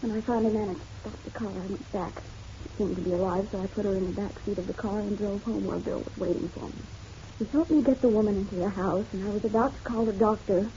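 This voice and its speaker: An actress playing a distraught, guilt-ridden wife in a melodramatic radio drama, halting and emotional. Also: female voice, a little high, mellow, close to an everyday voice. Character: distraught, guilt-ridden married woman confessing to a detective. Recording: dull lo-fi radio drama, faint noise.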